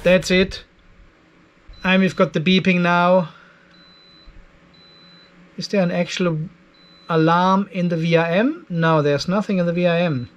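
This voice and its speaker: An older man with a noticeably high voice talks through a hands-on tech demonstration. His delivery is informal and conversational, as if thinking aloud while he checks readings for viewers. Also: fairly even intonation, measured pace, pauses often, a little hiss or hum. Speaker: host of an off-grid battery testing channel. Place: cluttered indoor garage workshop.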